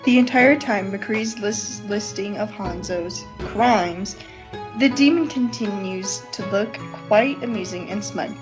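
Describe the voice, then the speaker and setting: A woman with a medium-pitched voice narrates a story in an amateur podcast reading. Music is clearly audible behind her. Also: takes it slowly, rough recording. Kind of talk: amateur fan fiction narration.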